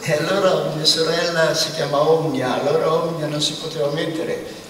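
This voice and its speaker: A man's voice: fairly high pitch, fairly even intonation, moderate pace, a bit bright. Elderly man, distant in a reverberant hall. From Sanremo, Italy. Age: 80s. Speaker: botanist guest. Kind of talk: casual storytelling on stage. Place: large theatre stage, indoors. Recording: echoey hall, distant, noisy.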